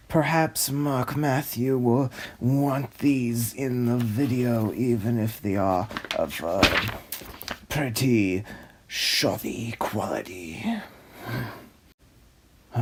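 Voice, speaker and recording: A man's voice: mid-range voice, a little mellow. A man playing a pompous, fussy narrator who reads out a script theatrically. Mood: snobbish. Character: snobby, fussy male narrator, a pompous connoisseur. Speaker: narrator host.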